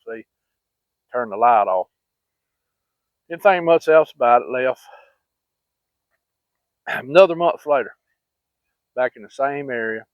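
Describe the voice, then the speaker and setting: Male storyteller, Southern US accent, mid pitch, rambling and plain-spoken; dry, narrow phone-like sound. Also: dry recording. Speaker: vlogger. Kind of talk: casual personal storytelling. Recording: muffled, phone-like narrow-band audio.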